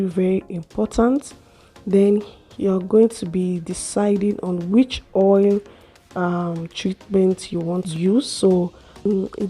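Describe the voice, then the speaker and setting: A young woman with a slightly hoarse voice, chatting slowly through a hair care vlog in a small room. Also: on the low side, mellow. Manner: slow, chatty explaining with fillers. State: a little hoarse from a cold. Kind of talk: casual how-to vlog.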